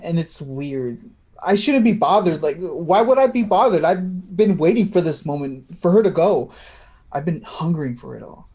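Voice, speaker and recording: A man's voice: fairly high pitch, expressive, mellow. A man acting in a play reading as an anxious, tender, slightly tipsy man who stammers and hesitates. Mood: troubled. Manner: halting, stammering.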